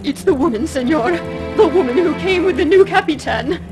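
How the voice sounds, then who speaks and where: A very high female voice over prominent music a distraught village wife in a video game. She is humble and imploring, full of desperate deference. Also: expressive, moderate pace. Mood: distressed, urgent. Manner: pleading, tearful, deferential. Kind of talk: video game cutscene acting. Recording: loud music behind the voice.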